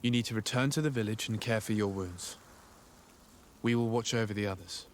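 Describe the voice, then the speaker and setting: An actor playing a reasonable, diplomatic young nobleman in a video game cutscene, speaking in a formal, measured and earnest way. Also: fairly low pitch, a little hiss or hum, close to an everyday voice. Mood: sombre, gentle.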